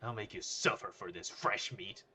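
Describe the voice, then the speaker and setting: Swaggering male high school bully voiced by a male narrator, forceful and rough-edged, taunting and boastful. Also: moderate pace, full character voice. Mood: threatening, smug.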